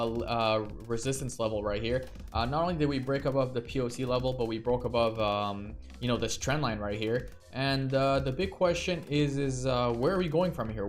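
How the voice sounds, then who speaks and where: Guy doing a crypto live stream, rambly, lots of ums, mid pitch, faint music behind. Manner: rambling, many fillers and restarts. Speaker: crypto trading livestreamer. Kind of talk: casual live stream commentary.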